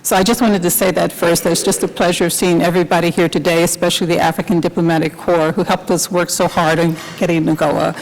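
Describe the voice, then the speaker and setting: A soft-voiced woman on a policy panel, graciously thanking her hosts before answering. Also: lowish voice, flat delivery, fast talker, creaky, few pauses, low-quality recording. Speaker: panelist, trade official.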